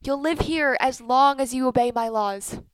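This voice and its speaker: A woman acting a bitter, commanding older wife and mother in a radio play, speaking deliberately and imperiously with emphasis. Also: sits high, clear, resonant tone, lightly acted.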